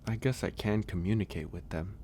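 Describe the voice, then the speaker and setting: A man playing a gentle, caring werewolf in a soft, intimate ASMR audio roleplay, speaking hesitantly and reassuringly with frequent pauses. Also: fairly low pitch, slight background noise, lightly acted. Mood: hesitant, explanatory. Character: gentle male werewolf, caring stranger nursing a guest.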